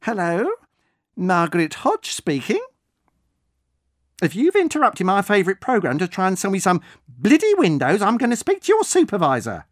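A narrator voicing a cantankerous, swearing woman of seventy-four, high and indignant. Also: wildly swooping pitch, normal speed.

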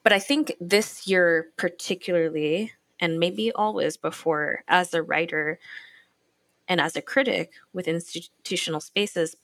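A woman's voice: on the low side, gravelly, fairly bright tone, no room sound. A woman who writes criticism and teaches, speaking in a reflective conversation and searching for her words.

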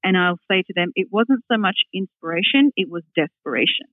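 A woman's voice: lowish voice, expressive, fairly quick, dry recording. A middle-aged woman with an Australian accent, a public speaking coach answering interview questions in an articulate, upbeat way. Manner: articulate, upbeat, laughs readily. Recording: tinny phone line, dry.

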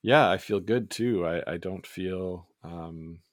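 A man with a low voice that is a little scratchy. He talks casually as a podcast co-host, and the recording is dry and free of room echo. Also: slow pace. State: slightly scratchy voice from yelling while curling. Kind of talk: casual chat.

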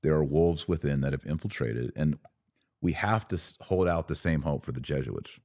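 Very deep-voiced male podcast host. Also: normal speed, a little mellow, no echo, clean studio sound. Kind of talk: casual podcast discussion.

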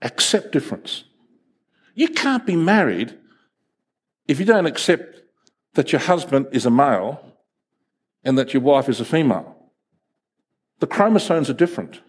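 A middle-aged male pastor whose first language is Greek delivers a lively sermon. His voice sits a little high and leaps around expressively, carrying a husky edge, and he slips into quick acted voices while telling stories. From Greek heritage, first language Greek. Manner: animated, storytelling with acted voices.

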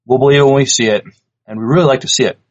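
A man co-hosting a podcast, casually reading news items aloud in a halting, stumbling way. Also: mid-range voice, steady tone. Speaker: podcast co-host.

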